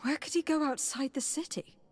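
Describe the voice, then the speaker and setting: An actress playing an earnest, determined noblewoman diplomat in a video game, speaking in a formal, measured, probing way. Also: high-pitched, soft background music, lightly acted. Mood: questioning, insistent. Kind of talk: dramatic video game cutscene acting.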